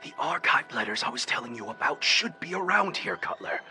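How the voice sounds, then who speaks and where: An actor playing an eager professor in an audio drama, excitedly revealing what old archives hold and reading aloud theatrically. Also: male voice, medium pitch, fairly quick, soft background music. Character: eager scholarly male professor digging through old archives.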